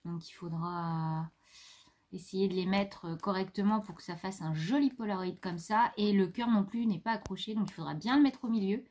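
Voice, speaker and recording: A woman's voice: mid-range voice, moderate pace, a little mellow. Chatty woman showing her craft haul. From France. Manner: chatty, rambling, talks to her pet. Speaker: craft vlogger. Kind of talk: casual show-and-tell haul. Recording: faint background noise.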